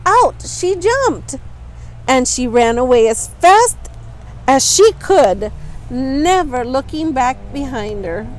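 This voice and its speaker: A woman's voice: high voice, lively intonation, slow pace, rough recording. A woman reading a children's picture book aloud expressively, adding simple asides for young listeners. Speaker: storytime reader.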